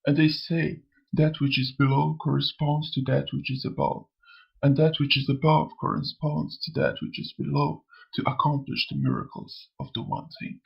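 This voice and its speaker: A man voicing a sly, amused old spirit in a motion comic, lecturing theatrically in a gravelly, husky voice. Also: a little high, little pitch movement, moderate pace, muffled recording, exaggerated voice. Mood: mocking, amused. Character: sly, amused old male spirit who preaches and taunts. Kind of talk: scripted motion comic dialogue.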